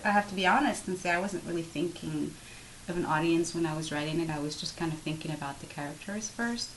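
A woman whose first language is Arabic answers interview questions about her writing, her voice sitting a little low. She speaks in a measured way and circles back to restart phrases. A faint hiss runs under the recording. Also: low-quality recording. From Morocco. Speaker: author being interviewed.

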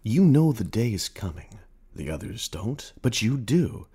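A man performing a radio play as a merciless, taunting inner narrator, speaking in literary second person with theatrical, accusing emphasis and a husky voice. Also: lowish voice, big pitch swings, unhurried, mellow, pitched above the performer's own voice, full character voice. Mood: taunting, scornful.

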